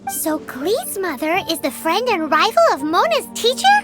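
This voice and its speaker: A woman voicing a tiny, chatty fairy companion in a video game, with a squeaky, childlike, earnest manner. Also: sing-song, normal speed. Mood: thoughtful. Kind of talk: video game character acting. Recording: loud music behind the voice.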